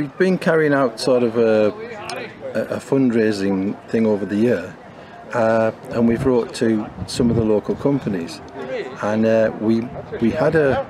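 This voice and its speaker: An older man talks in a low, unhurried voice, stopping often with fillers and restarts. He is interviewed outdoors beside a bowling green. Voices of other people can be heard in the background. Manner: hesitant, many fillers and restarts. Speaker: bowling club committee member. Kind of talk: interview talk.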